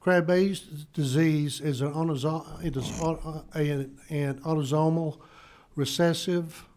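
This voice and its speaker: A man, a state representative, hoarse from a bad cold, presenting a bill to committee and stumbling over medical terms. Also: a little high, fairly even intonation, measured pace, darkish tone. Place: legislative committee room.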